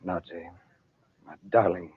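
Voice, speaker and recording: An actor playing an earnest, ardent hero and magician in an old-time radio drama. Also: male voice, low voice, steady tone, a little slow, slightly rough, heavily acted. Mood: tender, pleading. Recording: thin, phone-like old radio recording.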